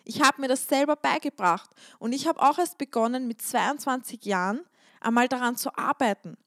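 A woman's voice: sits high, moderate pace, fairly bright tone, no echo, clean studio sound. Chatty woman podcast host. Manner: chatty and emphatic, repeats words for stress. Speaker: podcast host and stretching coach. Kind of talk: casual solo podcast monologue.

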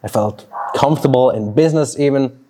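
A man in his thirties from Switzerland, a native Swiss German speaker, thinking aloud in a personal vlog with pauses and restarts. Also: mid-range voice, noisy background. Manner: reflective, talks slowly with pauses and restarts. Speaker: fitness coach. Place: outdoors near a road.

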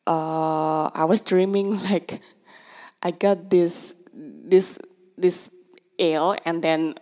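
A woman's voice: on the low side, fairly even intonation, very slow, a little mellow. A woman talking casually, her first language Indonesian, speaking hesitant English with many fillers and restarts and laughing often. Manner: switches between Indonesian and English mid-sentence. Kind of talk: casual monologue.

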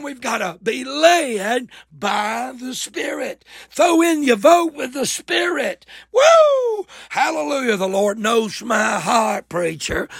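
A man preaching a fervent church sermon in a raspy voice, with emphatic, folksy exclamations and repeated phrases. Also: very high-pitched, fairly bright tone, dry recording, studio quality. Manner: folksy, colloquial.

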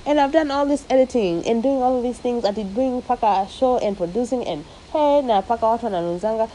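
A young woman hosting her own podcast, talking casually and lively and dropping Swahili slang into her speech. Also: on the high side, quick pace, a little hiss or hum, poor audio. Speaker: podcast host. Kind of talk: casual solo podcast monologue. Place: living in the United States.

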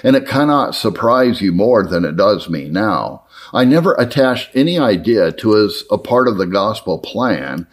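A man with a low voice narrates an old formal letter. His intonation moves widely up and down, while his phrasing stays measured and earnest. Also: lively intonation. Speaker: narrator reading historical religious writings.

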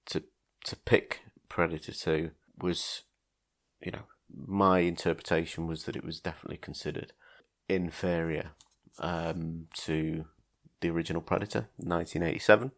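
A man's voice: low-pitched, moderate pace, gravelly, a bit bright. A man hosting a casual film podcast, talking in a hesitant way with many ums and restarts. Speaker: podcast host.

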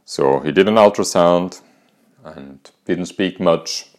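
Low-voiced Austrian man telling his story. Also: slow. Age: 50s. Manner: calm, reflective, candid. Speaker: podcast host. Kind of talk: personal storytelling monologue.

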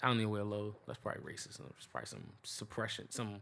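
A man's voice: on the low side, sing-song, rapid-fire, vocal fry, a bit bright, slight background noise. A young man co-hosting a casual podcast chat, talking in loose slang with lots of laughing. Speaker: podcast co-host.

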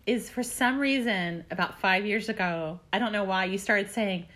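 A woman's voice: mid-range voice, normal speed, a bit bright. A woman co-hosting a podcast with her husband, chatting casually and acting out her own thoughts with animation. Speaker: podcast co-host. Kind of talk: casual chat.

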